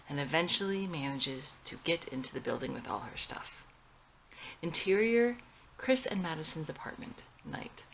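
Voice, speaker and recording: A woman's voice: low-pitched, a bit bright, slight background noise, poor audio. A woman narrating her own screenplay as a scripted reading, including scene headings and stage directions.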